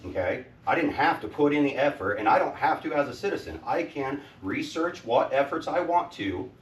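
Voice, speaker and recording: A man with a loud voice questions the school board at a public meeting, insistent and trying to keep himself calm. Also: mid-range voice, moderate pace. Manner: loud and insistent, says he is trying to speak softly. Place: school district office lobby at a public meeting. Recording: roomy echo, distant mic, faint background noise.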